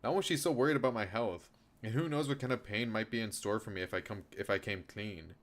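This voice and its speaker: Male game streamer, lower voice, reads visual novel text and chats, noticeable background noise. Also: fast. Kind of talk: reading visual novel narration aloud with casual live commentary. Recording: clear background noise, rainy ambience. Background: noise, rain during a stream.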